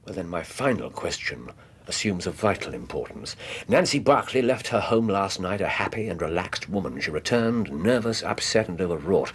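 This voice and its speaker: A Victorian detective with an English accent in a radio drama. He is low-pitched and very animated, incisive and imperious, choosing formal, probing phrases, with faint noise behind him. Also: normal speed. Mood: grave, pressing. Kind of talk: British radio drama acting.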